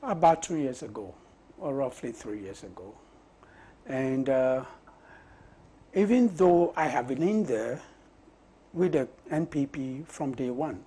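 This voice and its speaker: A Ghanaian man with a husky voice and Ga as his mother tongue, answering interview questions in deliberate short phrases. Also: medium pitch, expressive, warm tone, a little hiss or hum. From Osu, Accra, Ghana. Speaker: parliamentary candidate being interviewed. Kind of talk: conversational political interview.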